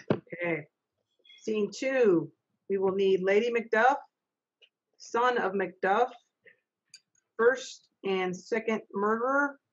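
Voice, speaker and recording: Middle-aged woman reading stage directions. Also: medium pitch. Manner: brisk, practical, coaxing the young readers along. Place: home office. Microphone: laptop mic on a video call. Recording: dull lo-fi video call audio.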